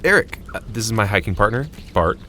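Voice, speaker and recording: A man's voice: on the low side, expressive, brisk, sharp tone, a little hiss or hum. A man playing a weary, exasperated hiker who guides his friend with dry, grudging remarks in an audio comedy drama. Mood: dry, uneasy.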